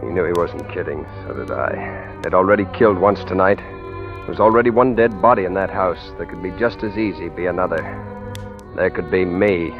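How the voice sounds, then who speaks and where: An actor playing a nervous, cowed captive in a 1940s radio thriller, speaking hesitantly. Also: male voice, low-pitched. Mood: grim narration. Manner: hesitant, halting. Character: nervous, cowed male captive called Curly, also narrating grimly. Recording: muffled vintage radio recording with music.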